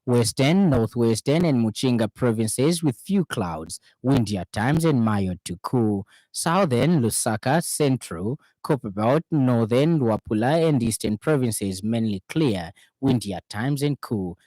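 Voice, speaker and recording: A male radio news presenter with a Zambian accent reads a bulletin from a script. His delivery is formal and measured, at a middling pitch. The sound is dry, as in a studio. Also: expressive, warm tone, dry recording, poor audio.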